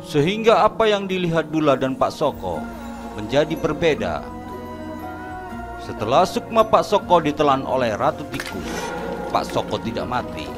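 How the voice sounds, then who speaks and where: A man narrating a scripted horror cartoon story in a steady, ominous tone. His pitch is middling and lively. Music plays clearly behind him.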